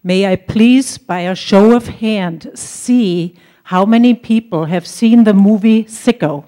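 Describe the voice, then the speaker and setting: A woman's voice: medium pitch, slow pace, dark tone. A woman from Austria with an Austrian German accent, giving an earnest, increasingly indignant public comment as a resident at a hearing.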